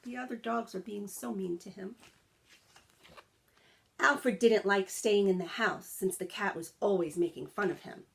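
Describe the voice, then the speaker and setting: A woman reads a children's story aloud at an unhurried, slow pace. She is expressive and sympathetic, with simple asides for young listeners. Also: mid-range voice, creaky. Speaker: teacher reading a picture book aloud.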